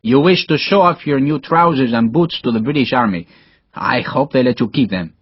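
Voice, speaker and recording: A man's voice: medium pitch, normal speed, breathy edge, dry recording, muffled recording, lightly acted. A man voicing a proud, teasing Spanish soldier with a formal, grand manner in an audiobook. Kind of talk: audiobook character dialogue.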